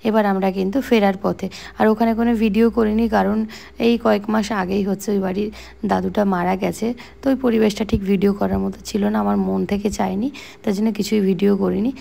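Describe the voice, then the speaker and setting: A woman's voice: medium pitch, fairly even intonation, brisk, gravelly, warm tone. A young woman with a West Bengali accent narrating a casual daily vlog in a chatty, explanatory way. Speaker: vlogger.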